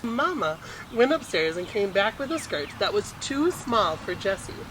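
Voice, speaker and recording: An expressive picture book reader with a mid-pitched voice. The narration is animated and theatrical in its swings, over clearly audible noise. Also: a little slow, rough recording. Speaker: storytime reader.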